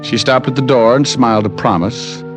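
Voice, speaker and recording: An actor as a wisecracking private eye. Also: male voice, medium pitch, moderate pace, natural voice. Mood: narrating. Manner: dry, wisecracking, laconic. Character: wisecracking male private detective narrating. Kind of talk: 1950s radio drama acting and narration. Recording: old radio broadcast with faint music.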